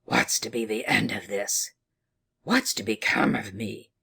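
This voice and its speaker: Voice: mid-range voice, a little slow, creaky, sharp tone, husky, pitched below the performer's own voice, full character voice. Stuffy, bewildered counselor put on by a narrator. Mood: anguished. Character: stuffy, bewildered male counselor, learned and anxious.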